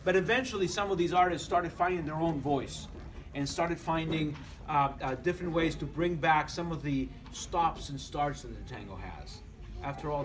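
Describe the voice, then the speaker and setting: A middle-aged man from Argentina with an Argentine accent, giving a relaxed, joking lecture to an audience and often restarting his phrases. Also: fairly high pitch. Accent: Argentine Spanish. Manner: conversational, joking, many restarts. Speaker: musician lecturer.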